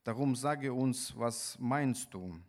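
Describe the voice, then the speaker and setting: A man from Ukraine with a Ukrainian accent, preaching in a halting, deliberate manner with pauses mid-sentence. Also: medium pitch, a little mellow. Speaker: guest preacher. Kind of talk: sermon with scripture reading.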